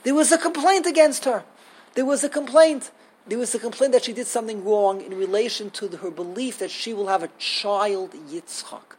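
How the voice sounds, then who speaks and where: A woman teaching a Torah class to a small group, lively and animated, switching often into Hebrew terms. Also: fairly high pitch, normal speed, breathy edge. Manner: animated, acts out quotes, mixes in Hebrew terms.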